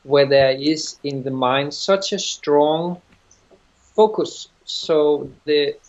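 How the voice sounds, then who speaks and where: A middle-aged man with a Danish accent, answering interview questions as a yoga teacher, thoughtful and halting, often restarting phrases. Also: on the high side, a little mellow. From Denmark. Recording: dull lo-fi sound.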